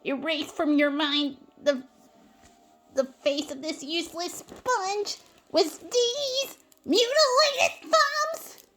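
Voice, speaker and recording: A woman's voice: very high-pitched, slow pace, a bit bright, slight background noise, pitched above the performer's own voice, exaggerated voice. A woman puts on a dejected young male cartoon sponge. He sounds dreamy and full of self-pity, with a melodramatic, depressed delivery. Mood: bitter despair. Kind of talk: fan fiction character reading.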